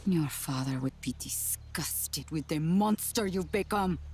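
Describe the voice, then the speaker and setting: A woman's voice: low voice, expressive, measured pace, mellow, slight background noise. A woman playing a resolute, defiant young rebel in a video game, speaking directly and earnestly. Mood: urgent, defiant. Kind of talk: video game cutscene acting.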